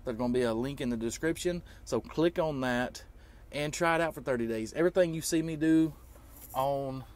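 A man's voice: mid-range voice, normal speed, a little mellow. A man in his thirties talking informally to vlog viewers, explaining emphatically and repeating himself to drive points home. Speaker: trucker vlogger.

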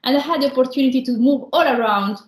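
A woman from northern Italy with a strong Italian accent, giving an online lecture as a senior lecturer, explaining slowly with many pauses. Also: high voice. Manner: measured, explanatory, frequent um and uh pauses. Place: indoor room, remote video call. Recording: roomy echo.

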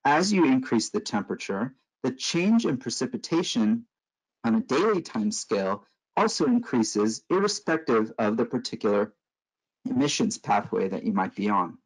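A man's voice: medium pitch, expressive, slightly rough, poor audio. American man giving a slide lecture. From Los Angeles, California. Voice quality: measured, explanatory, frequent um and uh fillers. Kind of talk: conference lecture over slides.

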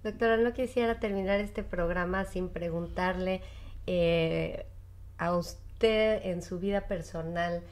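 Brief: woman host, slightly low pitch, polite and hesitant, studio interview. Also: steady tone, takes it slowly, a little mellow. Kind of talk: interview questions. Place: small studio office, indoors. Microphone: desk microphone.

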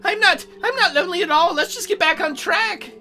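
A woman playing a coy, teasing, bashful young demon girl in a visual novel, with measured delivery. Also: very high-pitched, pushed and bright, faint music behind, exaggerated voice. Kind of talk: visual novel character acting.

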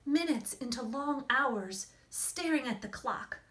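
A woman in her forties, an author reading and explaining things to children in an expressive, emphatic storytelling manner. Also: high voice, takes it slowly. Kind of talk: expressive picture-book read-aloud and explanation to children. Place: small indoor room against a plain wall.

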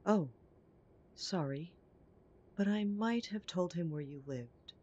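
A woman playing a poised, prying mother who talks in a genteel, gently condescending way in an audio roleplay. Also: fairly low pitch, takes it slowly, muffled recording. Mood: smug. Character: poised, prying, polite upper-class mother. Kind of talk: scripted audio roleplay acting.

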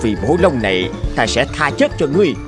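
A man's voice: medium pitch, big pitch swings, a little fast, close to an everyday voice. Man acting an emphatic old farmer, music behind. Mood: stern, gloating. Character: stern old rice farmer who turns remorseful. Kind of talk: animated folk-tale acting.

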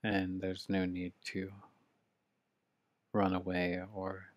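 A man leading a live guided meditation, speaking calmly and slowly with long pauses between phrases, from home over a video call. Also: sits low, no echo. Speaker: meditation teacher. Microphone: video call mic.

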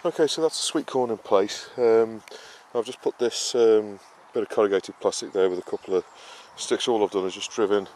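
A man giving a casual, explanatory gardening talk in a halting, unscripted way, as a vlogger would. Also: fairly low pitch, darkish tone. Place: outdoor vegetable garden and greenhouse. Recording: clearly noisy outdoor ambience, uneven distance.

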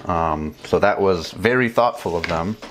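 Deep-voiced guy in his 30s walking thru a gear install, loose and chatty, bit of hiss behind. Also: measured pace. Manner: relaxed, chatty, many fillers and restarts. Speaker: motorcycle travel vlogger. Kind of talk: casual how-to demonstration. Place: small home room with bookshelves and desk.

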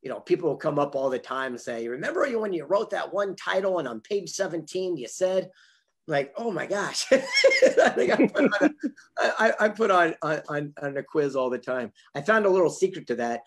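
A man in his fifties with a husky voice, telling motivational anecdotes emphatically on a video call and acting out the dialogue. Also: on the high side, wildly swooping pitch, moderate pace, fairly bright tone. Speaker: motivational speaker and author, interview guest. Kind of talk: animated motivational storytelling in an online interview. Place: indoor home office with memorabilia wall. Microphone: video call, computer mic.

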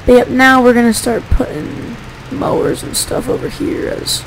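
Woman, gameplay commentary, rambling and chatty, sore gravelly voice. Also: a little high, normal speed, slight background noise, rough recording. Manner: rambling, self-correcting, chatty. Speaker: gaming YouTuber.

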